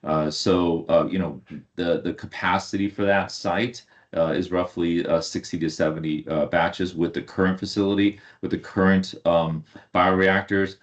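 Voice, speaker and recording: A man speaking as a company executive on an earnings call, with a halting, hesitant delivery full of repeated words. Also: sits low, little pitch movement. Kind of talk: earnings call presentation and answers. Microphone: online conference call. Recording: dull lo-fi online call audio.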